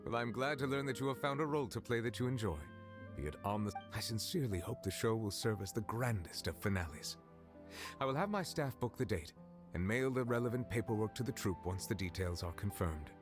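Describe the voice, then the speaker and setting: An actor playing a formal, courteous official of high rank in a video game, speaking in a measured, polite and reserved way. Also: male voice, lively intonation, lightly acted. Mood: gracious. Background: music.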